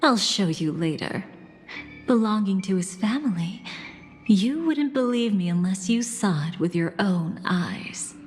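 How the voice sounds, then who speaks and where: Game seductress: woman playing mysterious, sly woman, slow breathy teasing, cool poise, over music. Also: medium pitch, expressive, a little mellow, close to an everyday voice. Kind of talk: video game character dialogue.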